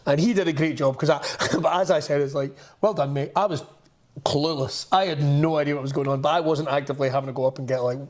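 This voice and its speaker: A man's voice: fairly high pitch, fast talker. A Scottish man co-hosting a podcast, ranting in a sweary, exasperated way during casual chat. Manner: animated, sweary, exasperated rants. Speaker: podcast co-host.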